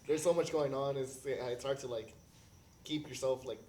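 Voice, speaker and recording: A man's voice: medium pitch, moderate pace, a little mellow. A man co-hosting a podcast, talking in a casual, slangy storytelling way and quoting dialogue as he goes. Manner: informal, slangy, acts out quotes. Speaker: podcast co-host. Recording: echoey room, faint background noise.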